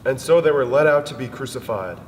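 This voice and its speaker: A man narrating a dramatic literary reading, solemn and measured in delivery. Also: mid-range voice, animated. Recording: long hall reverb, music over voice. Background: string music.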